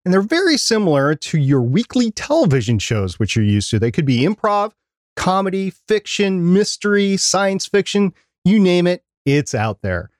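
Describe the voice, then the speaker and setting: A man's voice: high voice, sing-song, normal speed, dry recording, clean studio sound. A man co-hosting a podcast, presenting prepared segments and interview questions. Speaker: podcast co-host.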